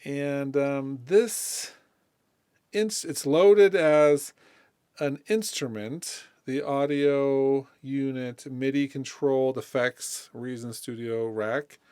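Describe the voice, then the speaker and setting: A man with a smooth, clean voice walks through a music software demonstration. He sits at an average male pitch and talks informally, thinking aloud as he goes. The sound is close and dry, with no room around it. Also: fairly even intonation, slow pace, dry recording. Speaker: music production tutorial presenter.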